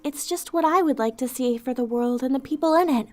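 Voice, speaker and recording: A woman voicing a gentle, weary female vampire outcast in an ASMR roleplay, soft-spoken, wistful and earnest, with formal wording. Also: sits high, fairly quick, soft background music, natural voice. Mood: earnest, compassionate.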